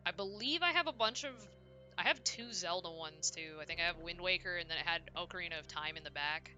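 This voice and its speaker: A young woman chatting casually and loudly on a game livestream, rambling and often cutting herself off as she plays. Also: lowish voice, brisk, lo-fi sound, faint music behind. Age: young adult. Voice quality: loud voice. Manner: rambling, self-interrupting, frequent "like". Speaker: video game streamer. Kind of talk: casual livestream chat while playing.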